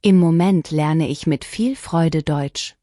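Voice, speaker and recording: Low-pitched woman reading practice sentences slow and clear, super dry recording. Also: no echo. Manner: clear, even, deliberate sentence-by-sentence delivery. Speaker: language lesson reader. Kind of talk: scripted shadowing practice reading.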